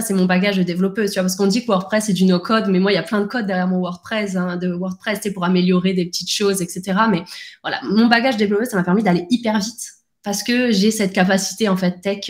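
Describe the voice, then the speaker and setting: A woman in a relaxed interview, talking in quick bursts that she keeps restarting. Her voice sits in an ordinary middle range. The sound carries the echo of a bare room. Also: medium pitch. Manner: fast and animated, many restarts and fillers. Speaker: podcast guest, founder. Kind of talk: casual conversational interview.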